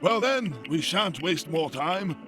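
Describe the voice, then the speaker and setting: An actor playing a scheming crony of the privileged with affected, archaic formality in a satirical audio play. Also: male voice, a little high, lively intonation, slow, music in the background. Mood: smug.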